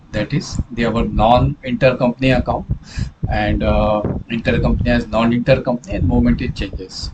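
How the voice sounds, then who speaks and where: A clearly noisy recording carries a man's voice at an average pitch. He speaks as a software trainer walking through a hands-on tutorial. His delivery is halting, with repeated phrases, hesitations and self-corrections.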